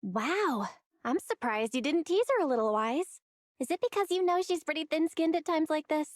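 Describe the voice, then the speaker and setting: A woman voicing a perky, quick-witted young tech operator in an anime-style game, teasing yet decisive. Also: high voice, expressive, dry recording, studio quality.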